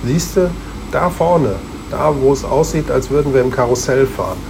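A friendly talking bottle played by a man with a mid-pitched male voice explains things patiently and reassuringly. It sounds like a children's radio play, with clear background noise around him. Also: normal speed, natural voice. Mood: patiently explaining.

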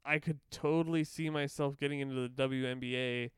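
A Canadian man co-hosting a podcast, talking in a rambling, profane, animated way. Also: mid-range voice, fairly quick, clear, resonant tone, dry recording. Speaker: podcast co-host. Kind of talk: casual chat.

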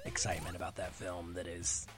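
A man's voice: deep voice, completely flat delivery, brisk, music in the background. A man talking casually and enthusiastically about films as a podcast guest. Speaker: podcast guest, film critic. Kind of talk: casual film discussion.

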